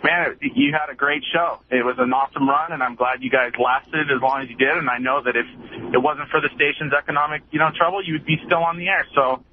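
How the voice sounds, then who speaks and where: Thankful guy caller, kinda high voice, tinny phone line with a bit of hiss. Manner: friendly, grateful, halting. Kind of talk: phone-in call.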